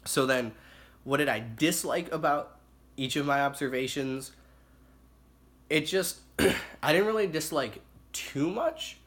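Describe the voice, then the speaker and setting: A young man with a raspy, slightly hoarse voice from being ill, giving a casual spoken report with halting phrasing, many fillers and self-corrections. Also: fairly high pitch, a bit bright. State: hoarse from illness. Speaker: student reporting on observation hours.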